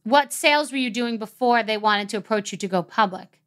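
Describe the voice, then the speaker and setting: A woman hosting a podcast, chatty and asking quick follow-up questions. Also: a little high, clear, resonant tone. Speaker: podcast host. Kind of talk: casual interview and read ad.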